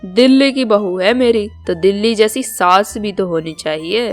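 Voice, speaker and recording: A woman narrator acts out an older mother-in-law from a small town in a storybook tale. She is chatty and proud, insisting on being modern. Soft music plays behind her. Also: mid-range voice, a little slow, lightly acted. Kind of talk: Hindi storybook cartoon dialogue.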